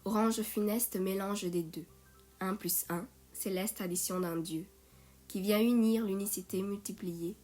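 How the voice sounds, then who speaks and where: A woman's voice: medium pitch, a little mellow. Woman reciting poetry over music. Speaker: poet reading own poem.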